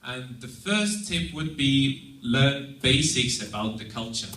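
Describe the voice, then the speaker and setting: A young man with a Russian accent, a native Russian speaker, delivers an earnest, measured prepared talk and sometimes restarts a sentence. His voice sits at an ordinary pitch. The room is echoey and he stands some distance from the mic. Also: expressive, sharp tone. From Estonia. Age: young adult. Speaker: exchange student giving a presentation. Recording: roomy sound, mic at a distance.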